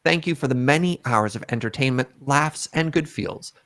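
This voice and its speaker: A man's voice: medium pitch, normal speed, fairly bright tone. A man casually reading out a viewer's message as a livestream host on a video call. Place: indoors at home, on a group video call.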